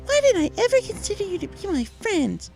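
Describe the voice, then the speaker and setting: Shrill deranged killer pony, wildly swooping. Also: female voice, sing-song, a little fast, gravelly, a little mellow, faint music behind, full character voice. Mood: bitter menace. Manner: shrill, emphatic, theatrical. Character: deranged, murderous female pony with a knife. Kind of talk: dramatic fan fiction audio reading.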